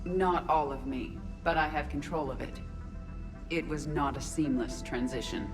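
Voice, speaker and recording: Calm, precise AI, music behind. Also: female voice, deep voice, unhurried. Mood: matter-of-fact. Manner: precise, formal, detached. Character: calm, precise female artificial intelligence in robot body. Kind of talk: dramatic video game dialogue. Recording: clear music underneath.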